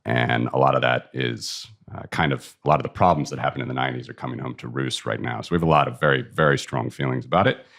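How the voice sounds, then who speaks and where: A man's voice: rumbling low, steady tone, quick pace, gravelly, few pauses, a bit bright. A man with an American accent from the Midwest, a guest talking frankly about his strong opinions. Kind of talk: casual interview talk.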